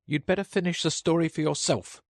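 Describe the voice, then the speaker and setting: A man's voice: on the high side, fairly even intonation, a little fast, no echo, studio quality, voice pushed up, close to an everyday voice. A man voicing a small, drowsy dormouse who sulks when interrupted. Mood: sleepy, sulky. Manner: drowsy, sulky, indignant. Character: sleepy, sulky little male dormouse.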